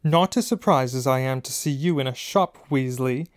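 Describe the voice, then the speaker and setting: A man's voice: mid-range voice, normal speed, dry recording, studio quality, lightly acted. A man voicing a snobbish, taunting schoolboy bully in a storybook, with a sneering drawl.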